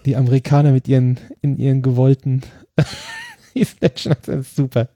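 A man's voice: medium pitch, wildly swooping pitch, normal speed, warm tone, no echo, studio quality. A man chatting casually about films with friends, talking in a loose, hesitant way with many fillers and small laughs. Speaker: podcast co-host.

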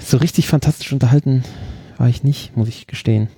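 A man's voice: mid-range voice, a little fast, dark tone, slightly rough, no room sound. A man chatting casually about films with friends, talking in a loose, hesitant way with many fillers and small laughs. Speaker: podcast co-host.

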